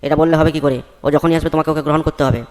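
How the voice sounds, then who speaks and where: A man's voice: a little high, steady tone, very fast, close to an everyday voice. A man voicing a blunt village man in a comedy cartoon, scolding and judging his neighbour in crude words. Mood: questioning.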